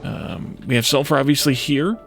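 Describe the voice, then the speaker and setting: A man's voice: medium pitch, moderate pace, vocal fry, fairly bright tone, soft background music. A man giving casual let's play commentary, thinking aloud in a hesitant, self-questioning way.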